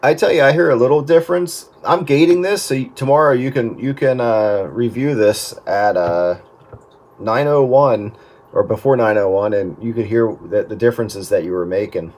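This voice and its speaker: A man's voice: medium pitch, moderate pace, darkish tone. A man chatting casually about technical matters on the radio, thinking aloud in a hesitant way. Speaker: amateur radio operator.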